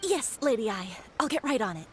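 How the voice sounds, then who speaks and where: A woman's voice: fairly high pitch, sing-song, fairly quick, a little hiss or hum. A woman playing a dutiful publishing assistant in a video game, formal, polite and businesslike. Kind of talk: video game character acting.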